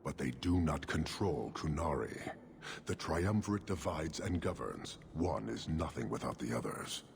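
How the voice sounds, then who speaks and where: A man playing a stern, contemptuous giant horned warrior commander in a video game, speaking in measured, formal pronouncements with a gravelly voice. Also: extremely low pitch, expressive, slight background noise, exaggerated voice. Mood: stern, lecturing. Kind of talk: video game cutscene acting.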